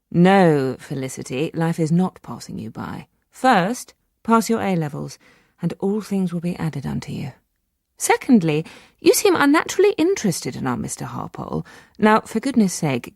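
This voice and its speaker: A woman playing a brisk, wry schoolteacher scolding her younger sister by letter in a radio drama, with a creaky voice. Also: on the low side, darkish tone, no echo, studio quality, full character voice. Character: brisk, exasperated elder sister, sharp-witted female teacher.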